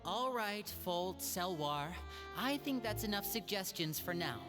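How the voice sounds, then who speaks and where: A voice actor playing a polished young magician who looks after younger children, speaking smoothly and courteously with a light, teasing edge. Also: mid-range voice, animated, unhurried, close to an everyday voice. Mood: gentle. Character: young man, polished magician, smooth big-brother manner. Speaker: older brother figure hosting guests. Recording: game cutscene with music behind.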